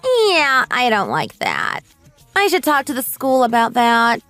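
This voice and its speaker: A woman's voice: fairly high pitch, wildly swooping pitch, unhurried, a bit bright, soft background music, full character voice. A woman voicing a snobbish, bullying rich mother in a radio drama, haughty, sneering and exaggerated. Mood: disdainful.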